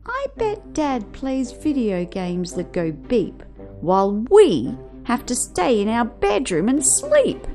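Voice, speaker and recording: An excitable little boy from a storybook, voiced by a woman, full of wonder and secret plans. The pitch is high and swoops freely as he confides in his teddy at an unhurried pace, with music behind him. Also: heavily acted. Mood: excited, conspiratorial. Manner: wonder-filled, conspiratorial. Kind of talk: children's storybook character reading.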